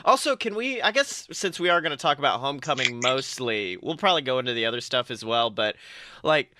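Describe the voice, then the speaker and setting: A man's voice: medium pitch, lively intonation, fairly quick, background noise. A man co-hosting a casual pop culture podcast, talking emphatically with strong opinions and free swearing. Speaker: podcast co-host. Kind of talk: casual podcast banter about films and comics.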